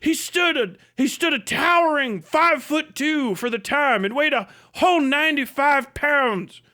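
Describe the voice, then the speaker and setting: A man's voice: very high-pitched, animated, measured pace, sharp tone. A man livestream host on a casual comedic rant, swearing freely, with mocking, exaggerated storytelling and laughter breaking into his talk. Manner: profane; laughs often while talking.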